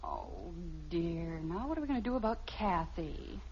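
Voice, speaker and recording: An American actress playing a brisk, self-assured, teasing wife and mother in an old radio family comedy. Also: fairly low pitch, wildly swooping pitch, a little mellow, exaggerated voice. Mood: brisk, firm. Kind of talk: mid-century radio sitcom acting. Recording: muffled, noisy old radio recording.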